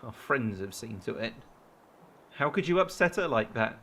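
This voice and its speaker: A man's voice: mid-range voice, lively intonation, moderate pace, a bit bright. An actor playing an anguished, guilt-ridden boyfriend in a radio drama, hesitant and stammering as he defends himself. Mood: bitter, defensive. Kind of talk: naturalistic radio drama acting.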